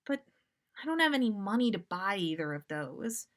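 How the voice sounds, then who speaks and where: A woman's voice: mid-range voice, big pitch swings, moderate pace, close to an everyday voice. A woman voicing an earnest, dramatic, easily panicked teenage boy in a story reading. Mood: anxious pondering. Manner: overwrought, exclaiming.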